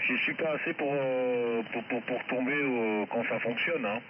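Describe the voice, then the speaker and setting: A man's voice: mid-range voice, normal speed. A man chatting casually as an amateur radio operator, heard over a shortwave radio link. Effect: shortwave amateur radio transmission. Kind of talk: casual radio contact chat. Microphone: heard through a radio receiver. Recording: muffled, static-filled shortwave radio reception.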